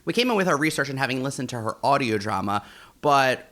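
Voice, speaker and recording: A man with a very bright, forward voice co-hosts a podcast. He talks in a casual, slangy way, gushing and dropping "like" into his sentences. His pitch sits in the middle for a man. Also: lively intonation, quick pace. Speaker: podcast co-host. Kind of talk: casual chat.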